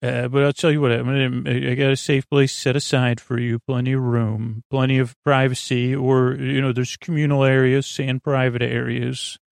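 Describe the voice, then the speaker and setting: A man hosting a bedtime podcast in a creaky, soothing voice, meandering haltingly through digressive monologue meant to lull listeners to sleep. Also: mid-range voice, level tone, no echo, clean studio sound. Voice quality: creaky, gravelly. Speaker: podcast host.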